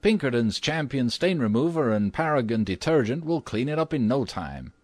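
A man's voice: mid-range voice, sing-song, moderate pace, a little mellow, no echo, voice pushed up. A man voicing a brash, confident young son in an audiobook, with an emphatic manner. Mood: dismissive, confident. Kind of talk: audiobook character voice.

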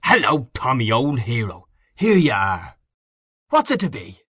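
A man's voice: mid-range voice, huge pitch swings, unhurried, a bit bright, breathy edge, no echo, studio quality, heavily acted. A man voicing a hearty, boastful newspaperman in an audiobook, breezy, expansive and patronising. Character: boisterous, boastful, hearty Irish newspaperman back home. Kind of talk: audiobook character dialogue.

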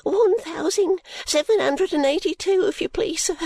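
A man voicing a flustered young servant girl who stammers breathlessly in a high voice. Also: moderate pace, creaky, darkish tone, dry recording.

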